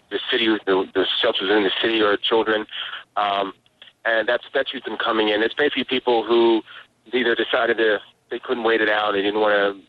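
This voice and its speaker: A man, a spokesman giving halting, informative answers in a live phone interview over a tinny line. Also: fairly low pitch, no room sound. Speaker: relief agency spokesman. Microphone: telephone.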